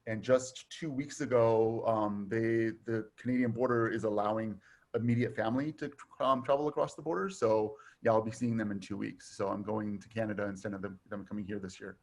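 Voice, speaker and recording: A middle-aged man from Taiwan, raised in Southern California, chatting as a guest in a relaxed way with frequent fillers. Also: lowish voice, a little fast, vocal fry. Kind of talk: casual conversation.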